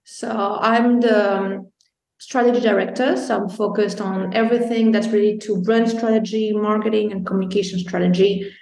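A woman's voice: medium pitch, steady tone, moderate pace, vocal fry, darkish tone. A woman from Belgium, a guest on a podcast, telling her story in a chatty way with many ums. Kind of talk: casual interview talk. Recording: roomy echo.

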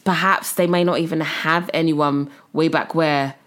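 A woman's voice: low voice, steady tone, measured pace, fairly bright tone. A young woman co-hosting a podcast in casual conversation, agreeable and informal with slangy phrasing. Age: young adult. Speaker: podcast co-host.

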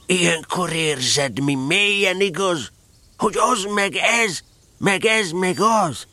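A man's voice: high voice, sharp tone, no room sound. A man voicing a naive, friendly little bear in a children's audio drama, earnest and a bit hesitant. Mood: earnest reciting. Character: slow-witted, friendly, dreamy little male bear. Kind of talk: children's audio drama acting, reciting verse.

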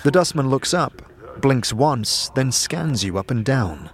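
A man narrating a fantasy audio drama in the second person, with a measured, descriptive storytelling delivery. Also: mid-range voice, animated, slight background noise. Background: background music.

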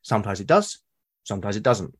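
A man with a British accent, a podcast guest talking casually and at length, often pausing to rephrase his thoughts. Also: lowish voice, very animated, breathy edge. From Britain. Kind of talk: casual conversation about solo roleplaying.

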